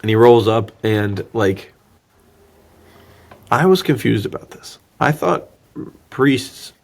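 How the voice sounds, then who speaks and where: A man's voice: mid-range voice, lively intonation, slow pace, creaky, darkish tone. A man chatting casually and jokingly as a podcast host, recapping a film in slangy, loose language. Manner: slangy, jokey, acts out dialogue mockingly. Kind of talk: casual movie recap chat.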